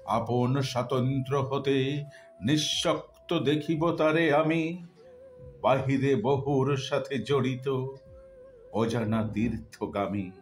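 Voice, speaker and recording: Very slow, solemn man reciting poetry. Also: medium pitch. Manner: measured, contemplative delivery with deliberate pauses. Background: background music.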